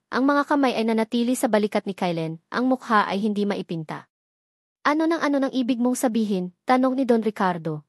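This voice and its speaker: A Filipino woman narrates a dramatic love story in a solemn, melodramatic way. Her voice sits fairly high and is recorded close and dry, with little room sound. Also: no room sound.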